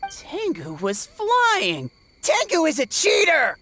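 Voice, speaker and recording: A woman's voice: very high-pitched, huge pitch swings, slow pace, fairly bright tone, a little hiss or hum, heavily acted. A woman voicing a feisty, defiant little tanuki yokai in a video game. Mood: indignant.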